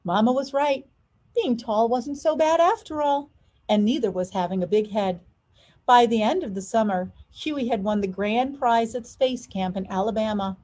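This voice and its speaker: High-voiced woman reading a children's story. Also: normal speed, darkish tone. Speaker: school superintendent reading a children's story aloud. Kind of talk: expressive children's storybook narration.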